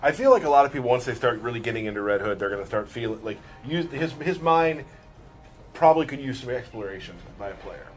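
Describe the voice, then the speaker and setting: A man's voice: medium pitch, animated, fast talker, soft background music. A man chatting casually as a co-commentator on gameplay.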